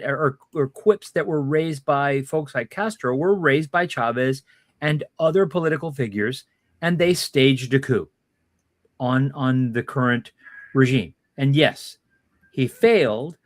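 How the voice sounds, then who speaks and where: A man hosting a casual political chat, explaining the history at length as he goes. His voice sits a little high. The sound is close and dry. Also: dry recording. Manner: rambling explainer, many fillers and restarts.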